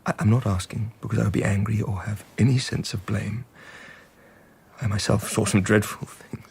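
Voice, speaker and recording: An actor playing a troubled, repressed husband in a period radio drama, speaking stiffly and haltingly. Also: male voice, lowish voice, steady tone, creaky, darkish tone, husky, full character voice. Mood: awkward, strained. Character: troubled, stiff English husband, halting and bitter after a catastrophe.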